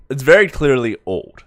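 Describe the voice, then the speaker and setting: A man's voice: medium pitch, sing-song, measured pace, background noise. A man giving casual reaction commentary as a streamer, rambling and often correcting himself mid-thought. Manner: rambling, trailing self-corrections. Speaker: streamer reviewing an animated comic.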